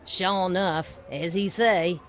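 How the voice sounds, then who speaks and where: A woman's voice: lowish voice, slow pace, faint music behind. A woman playing a folksy, familiar dockside madam in a video game, with drawling, colloquial speech. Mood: matter-of-fact. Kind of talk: video game cutscene acting.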